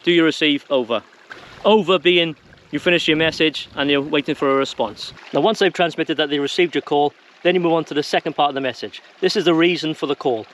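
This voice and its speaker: A man's voice: fairly high pitch, a little fast, fairly bright tone, slight background noise. A man presenting an informal how-to video to viewers in a plain-spoken, conversational way. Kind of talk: informal instructional talk to viewers.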